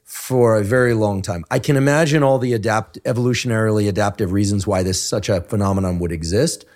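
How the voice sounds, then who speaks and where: Give me a male podcast host with a fairly low voice in a relaxed, thoughtful chat. He works through his ideas out loud, with the occasional restart. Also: a little fast. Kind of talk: casual conversation.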